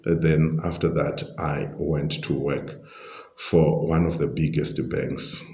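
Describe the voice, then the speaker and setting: A middle-aged man with a Zimbabwean accent, giving measured, genial, explanatory answers as an interview guest. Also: rumbling low, steady tone, dark tone. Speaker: podcast guest, leadership coach and pastor. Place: restaurant. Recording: dull, roomy, echoing sound.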